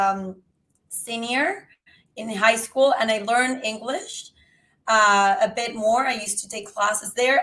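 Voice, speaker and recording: A woman's voice: fairly high pitch, fairly bright tone, poor audio. A woman from Venezuela, telling her life story as a podcast guest in a matter-of-fact way.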